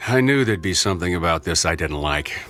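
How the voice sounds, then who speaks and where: Skeptical, sardonic male doctor, very animated delivery, radio drama with faint music. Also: low-pitched, brisk. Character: skeptical, dryly sarcastic senior male clinic doctor.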